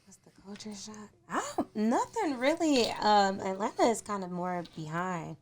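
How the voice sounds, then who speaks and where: A woman from Detroit with a slightly raspy voice, chatting informally and in slang as a podcast guest. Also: medium pitch, animated, normal speed. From Detroit, United States. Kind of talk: casual interview chat.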